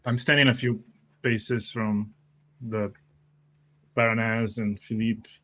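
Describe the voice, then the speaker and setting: Male player, unhurried and very even, hesitant first-person fantasy roleplay with frequent pauses. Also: lowish voice. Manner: hesitant, pausing. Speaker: tabletop game player.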